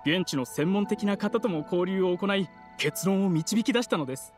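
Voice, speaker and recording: A man's voice: high voice, moderate pace, natural voice. An actor playing a polite, earnest male candidate in a Japanese fantasy video game, speaking formally. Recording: music behind the voice.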